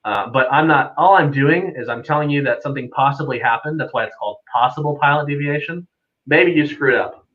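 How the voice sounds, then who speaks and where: A man's voice: a little high, a little fast, narrow, tinny audio. A man, an air traffic controller guesting on a live stream, explaining things casually in an easygoing, conversational way. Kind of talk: casual unscripted explanation with anecdotes.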